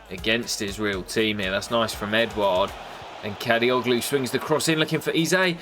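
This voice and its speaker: A young man with a nasal voice from a cold, commentating his football game in a casual play-by-play that jumps up excitedly at big moments. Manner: excitable, bursts up in pitch at goals and misses. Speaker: gaming YouTuber commentating his career mode.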